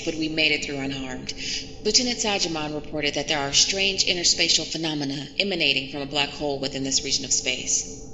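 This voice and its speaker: A woman's voice: low voice, lightly acted. A woman playing an earnest field reporter recording log reports aboard a starship in a sci-fi audio drama. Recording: echoey hall with noisy ship ambience.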